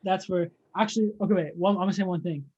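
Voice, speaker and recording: A man's voice: sits high, fast, dark tone, muffled recording. A young man hosting a casual interview, speaking informally with plenty of slang and appreciation for his guest.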